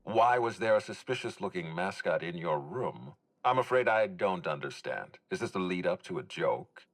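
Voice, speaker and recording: A guarded executive in a video game drama. His voice is very low, with lively rises and drops in pitch. He speaks formally and courteously, with a dry, stern edge and measured phrasing. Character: formal, guarded male executive overseeing hotel and orchards.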